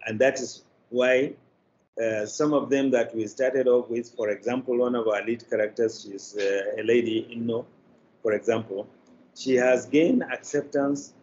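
A man's voice: medium pitch, fairly even intonation, a little slow, darkish tone. Older Nigerian man on a dull, noisy line. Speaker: guest, media company executive. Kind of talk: interview answers. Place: indoor room, video call. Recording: dull, noisy video call.

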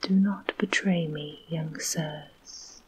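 A woman voicing a self-pitying, tragic old male dragon in a slow, breathy whisper in a storybook reading. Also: deep voice, fairly even intonation, slight background noise, poor audio. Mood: pleading.

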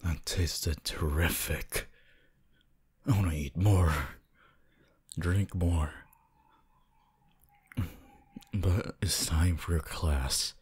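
A man voicing a shy, gentle, apologetic young wolf student in an ASMR roleplay, hesitant, stammering and soft-spoken. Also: deep voice, takes it slowly, slightly rough, full character voice. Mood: wistful.

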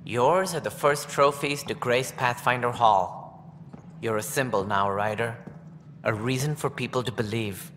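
A man voicing a calculating, self-important alien director in a video game, with a formal, measured, pompous manner. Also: mid-range voice, fairly bright tone, big-room sound, slight background noise, lightly acted. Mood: flattering.

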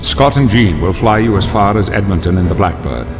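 Low-voiced solemn mentor. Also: male voice, lively intonation, fairly quick. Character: solemn, caring male mentor. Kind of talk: dramatic video game acting. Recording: loud music under the voice.

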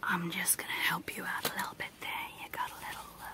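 A woman voicing a sinister, coaxing evil clown dentist in a breathy ASMR horror roleplay whisper, slow and menacing. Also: close to an everyday voice. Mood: menacing, soothing. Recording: noisy close-up home recording.

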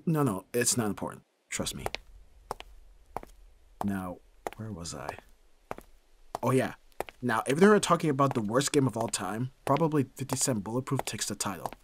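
A man's voice: medium pitch, big pitch swings, normal speed, pauses often, background noise, lightly acted. A man playing a brooding, protective young vampire boyfriend in an ASMR audio roleplay. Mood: tender, easygoing.

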